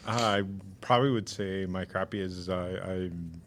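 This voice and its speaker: A man's voice: low voice, unhurried, noisy background. A tired man co-hosting a podcast, laid-back and dry in casual chat. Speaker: podcast co-host.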